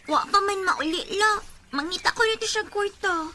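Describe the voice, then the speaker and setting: Young visayan girl, dutiful daughter, really high bright voice, radio drama. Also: moderate pace, slight background noise. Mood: worried. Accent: Filipino, Visayan. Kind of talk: Filipino radio drama acting.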